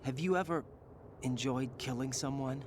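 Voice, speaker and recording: A man's voice: medium pitch, moderate pace, natural voice. An actor playing a troubled young male soldier in a game cutscene, earnest and searching in his questions. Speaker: game character. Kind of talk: video game cutscene voice acting. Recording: game audio with ambient noise.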